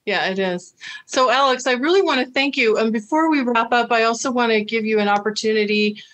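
A woman's voice: a little high, a little fast, a bit bright. A middle-aged woman from the United States, a dating coach hosting a video call interview, talking in a chatty, reassuring way with many fillers. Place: indoor room with flowers.

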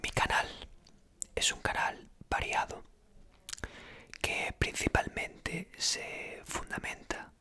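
A man in his thirties speaks as an ASMR creator. His voice is a husky whisper, delivered close to the mic with long, slow phrasing. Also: pushed and bright. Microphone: close desktop mic with foam cover.

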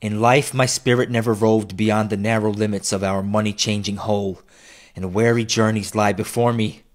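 A man's voice: lowish voice, fairly even intonation, normal speed, lightly acted. A man voicing the anguished, remorseful ghost of a dead businessman in a storybook reading, declaiming in a solemn wail. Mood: grave, restless. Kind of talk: dramatic classic storybook reading.

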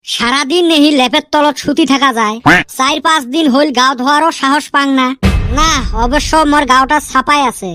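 A lazy, penniless young man in a cartoon, as a woman voices him. He whines and complains in a high voice. Clear music plays beneath the dialogue. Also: fairly even intonation, normal speed, pushed and bright, no room sound. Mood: complaining. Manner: whiny, complaining. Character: broke, lazy young man who hates cold. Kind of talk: cartoon voice acting.

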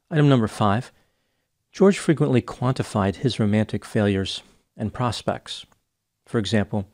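Man in his thirties, slightly low voice, measured clinical delivery, close studio mic, small home studio. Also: a little mellow. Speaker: psychology educator. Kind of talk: scripted case analysis. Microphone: close studio mic on boom arm.

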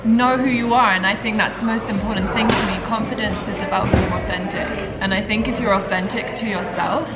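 A woman's voice: mid-range voice, steady tone, fairly quick, few pauses, echoey room, over loud music. A young woman answering an interview question earnestly. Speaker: interviewee, model.

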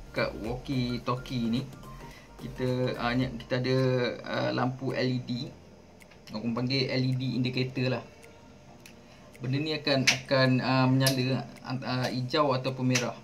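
A man with a Malaysian accent casually unboxing and demonstrating something, halting and repeating words as he explains. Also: medium pitch, flat delivery. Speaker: vlogger. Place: indoors at a table at home. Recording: music playing behind the voice.

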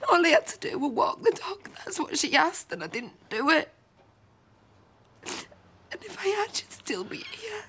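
Tearful grieving daughter. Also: female voice, extremely high pitch, very animated, a little slow, pauses often, sharp tone, slight background noise, full character voice. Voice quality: tearful, pleading. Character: grieving young woman whose mother has died.

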